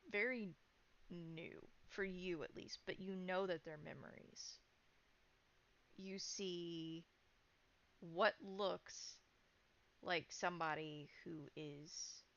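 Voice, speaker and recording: Low creaky-voiced woman narrating. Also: fairly bright tone. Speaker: game master. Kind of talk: improvised narration for a tabletop horror game. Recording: dull, lo-fi sound.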